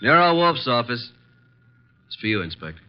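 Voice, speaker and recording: An actor playing a breezy, sardonic detective's assistant who talks casually and flippantly in an old-time radio mystery. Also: male voice, mid-range voice, big pitch swings, normal speed, lightly acted. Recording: dull, noisy vintage radio recording.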